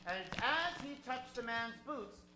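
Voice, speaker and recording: A woman's voice: fairly high pitch, lively intonation, unhurried. A woman narrator telling an improvised story in old-time radio style. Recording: roomy, faint music behind.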